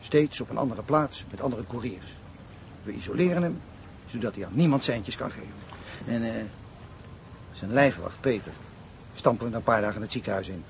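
A gravelly male crime boss in a radio thriller, mid-pitched, with faint noise behind. He sounds blunt and scheming, cautious yet ruthless. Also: lively intonation, moderate pace. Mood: cold scheming. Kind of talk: crime radio drama acting.

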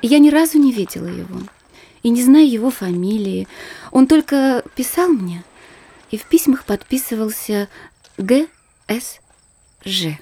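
Reserved young noblewoman for a radio play, mellow, breathy and confiding. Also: on the high side, lively intonation, measured pace, pauses often, heavily acted. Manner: soft, restrained, confiding. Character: young reserved married princess, gentle. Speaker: actress in audio play. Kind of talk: theatrical radio play acting.

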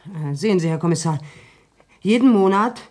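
A woman plays a stubborn country woman from a farm, with a fairly low voice. She speaks in a plain, guarded way, as in a classic radio play. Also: expressive, moderate pace, warm tone, natural voice. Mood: earnest, insistent. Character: stubborn, distrustful young farm woman, later contrite. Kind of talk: German radio drama acting.

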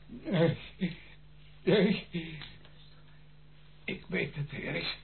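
An actor playing an ailing old general and grandfather, stern and agitated, speaking haltingly in a radio drama. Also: fairly high pitch, animated, vocal fry, heavily acted. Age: older. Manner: halting, slow, repeating words. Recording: muffled, noisy old radio play recording.

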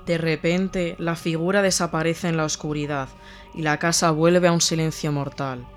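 A male narrator reads a scripted horror tale in a measured, atmospheric manner. His voice is somewhat high for a man, and faint music runs behind it. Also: flat delivery.

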